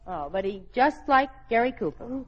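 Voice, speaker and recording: A woman playing a spirited, playful married daughter in a radio sitcom, brisk and theatrical. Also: fairly high pitch, expressive. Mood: curious, teasing. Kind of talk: old-time radio situation comedy acting. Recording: dull, noisy old radio recording.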